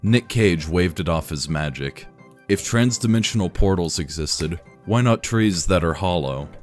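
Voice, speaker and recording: Man, very low voice, deadpan, reading a fan story aloud. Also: a little slow, soft background music. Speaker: reader.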